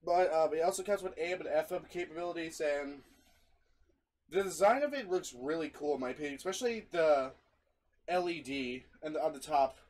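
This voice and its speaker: Young male hobby reviewer, slightly high voice, chatty and giggly, some background noise. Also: a little fast, a little mellow. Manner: giggly, easily breaks into laughter. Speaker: video host, hobby radio collector. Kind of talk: casual review with friends on a call.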